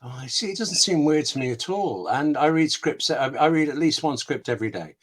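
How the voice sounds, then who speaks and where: A man's voice: on the high side, lively intonation, fairly quick. A man speaking casually as an interview guest, enthusiastic and often interrupting himself mid-thought. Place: online video call.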